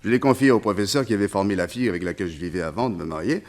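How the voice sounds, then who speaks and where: Actor as low-voiced, wistful circus tamer. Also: male voice, rapid-fire, lightly acted. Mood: fond reminiscing. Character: wistful male circus tamer, former colonial army officer. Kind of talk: French radio serial acting.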